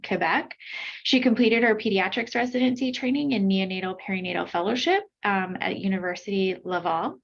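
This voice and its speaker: A woman's voice: mid-range voice, creaky, highs cut off. A woman moderating an online webinar, giving housekeeping notes and introducing the speaker with many hesitant fillers, heard over a video call.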